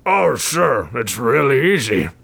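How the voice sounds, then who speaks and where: A man voicing a huge creature made of living gravel, with a thick, crunchy voice and a polite, mild manner. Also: mid-range voice, very animated, takes it slowly, noisy background, heavily acted. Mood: friendly.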